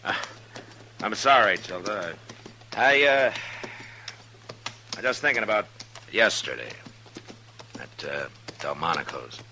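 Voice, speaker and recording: A man acting a steady, rueful Western town marshal in an old-time radio drama. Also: fairly low pitch, a little slow, long pauses, background noise. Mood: uneasy, gentle. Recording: vintage radio recording with music.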